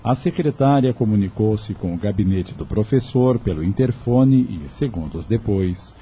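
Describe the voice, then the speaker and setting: A man's voice: on the low side, animated, normal speed, slight background noise. A man reading scripted narration for a radio drama. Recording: dull, lo-fi radio recording, music behind. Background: faint music.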